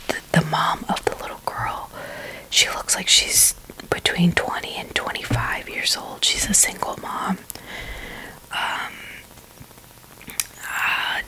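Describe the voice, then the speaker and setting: A woman's voice: fairly low pitch, pushed and bright, low-quality recording. A young woman whispering a casual, rambling ASMR chat in a hesitant way, with phrases that trail off. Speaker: ASMR video creator.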